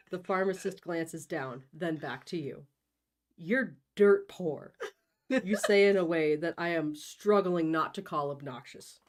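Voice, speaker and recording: A woman's voice: sits low, measured pace. Woman reading a comic story aloud. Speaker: host and storybook reader. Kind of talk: comic second-person narration, read aloud.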